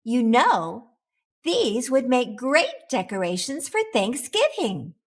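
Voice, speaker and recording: A woman voicing a warm, encouraging mother cat in a children's storybook, gentle and approving. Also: a little high, big pitch swings, takes it slowly, husky, full character voice.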